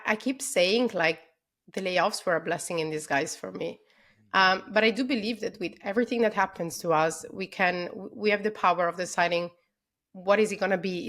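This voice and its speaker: A woman's voice: medium pitch, fairly bright tone. A woman in her thirties from Italy, with an Italian accent, talking as a podcast guest over a video call, eager and full of fillers. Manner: enthusiastic, many fillers like "um" and "like". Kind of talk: casual interview conversation.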